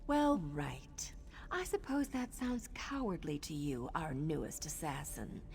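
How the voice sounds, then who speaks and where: A woman's voice: low-pitched, very animated, a little slow, creaky, darkish tone, heavily acted. A woman voicing an embittered, restless assassin in a fantasy game, sardonic and emotional. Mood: bitter. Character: embittered, restless, reckless female assassin, defensive and proud.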